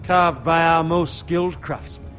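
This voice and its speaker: An actor playing a proud, loyal noble retainer in a fantasy game, speaking with urgency. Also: male voice, on the high side, measured pace, fairly bright tone, faint music behind. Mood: proud, urgent. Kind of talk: dramatic fantasy game acting.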